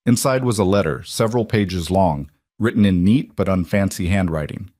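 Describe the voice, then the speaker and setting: A man narrating a scripted story in a measured, even storytelling manner. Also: low-pitched, dry recording, studio quality.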